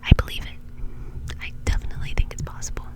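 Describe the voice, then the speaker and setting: A woman whispering in an informal, chatty way, rambling casually as an ASMR host. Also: fast.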